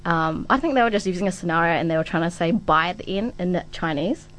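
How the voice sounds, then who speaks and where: A woman's voice: lowish voice, quick pace. Young New woman hosting radio chat. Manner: relaxed, filler-heavy, laughs often. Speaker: radio host. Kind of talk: casual chat.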